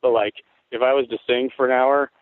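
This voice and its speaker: Rough-voiced man in his forties, phone-like sound. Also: medium pitch, little pitch movement, fairly quick, no room sound. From Tacoma, Washington. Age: 40s. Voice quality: rough, worn voice. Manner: rambling, laid-back storytelling with frequent swearing. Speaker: guest musician. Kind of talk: casual interview chat. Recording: tinny phone-like line, dry.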